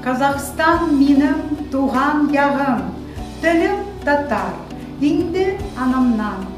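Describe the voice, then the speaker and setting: A woman reciting a poem in a slow, measured way over music. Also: sits high, expressive. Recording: echoey room, far from mic, music behind.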